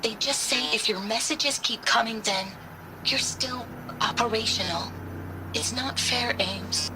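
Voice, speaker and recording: A woman's voice: fairly high pitch, a little slow, close to an everyday voice. Actress as an earnest, loving woman over background noise. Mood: anguished. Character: anxious, loving woman writing to her soldier partner. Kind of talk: dramatic voice-message monologue.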